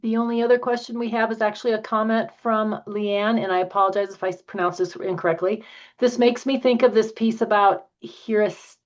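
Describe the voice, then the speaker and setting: A woman's voice: mid-range voice, steady tone, fairly quick, lo-fi sound. A woman moderating a session and relaying questions from the audience.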